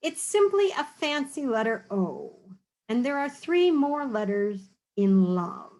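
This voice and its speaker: Strict mother-judge from a storybook, formal and dismissive, slow delivery , read by a woman. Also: sits high, very animated, darkish tone, voice pushed up, exaggerated voice, poor audio. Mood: stern.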